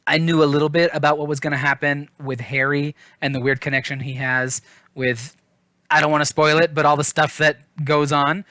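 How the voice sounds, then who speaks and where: A man's voice: fairly high pitch, sharp tone, dry recording. A man giving a casual book review in an informal, chatty, self-deprecating way.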